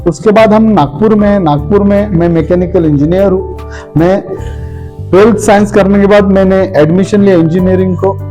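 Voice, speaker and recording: High-pitched man giving motivational talk. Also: mellow. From Maharashtra, India. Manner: interactive, asks the audience questions, repeats phrases for emphasis. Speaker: motivational speaker for direct selling. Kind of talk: motivational seminar speech with personal anecdotes.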